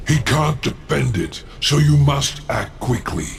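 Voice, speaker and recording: Game demon lord, extremely creaky growl, slow-paced, condescending, scheming, faint noise behind. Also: male voice, mid-range voice, sing-song. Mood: calculating. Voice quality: gravelly, growling. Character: sly, menacing old demon lord, proud schemer. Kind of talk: video game cutscene acting.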